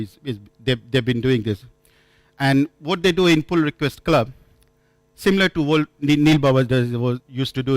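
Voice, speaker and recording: A man's voice: medium pitch, no room sound. A man from India, not an English speaker, giving a conference talk, telling stories with many restarts and self-corrections. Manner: halting, frequent restarts and self-corrections, storytelling. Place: conference room.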